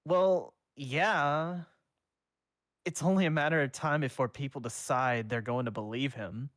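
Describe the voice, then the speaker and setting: Man reading as a worried teen ghost-boy hero, higher than his usual voice, jumpy and exasperated, fan storybook reading. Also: steady tone, unhurried, a bit bright, no echo, studio quality, natural voice. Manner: fretful, rising and exasperated. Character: anxious teenage boy half-ghost hero.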